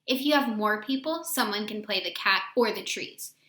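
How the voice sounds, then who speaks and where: Woman, bright and a little high, expressive storybook reader who quizzes kids; roomy echo. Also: normal speed. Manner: expressive, asks listeners questions. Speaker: read-aloud host for children. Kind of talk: children's storybook read-aloud.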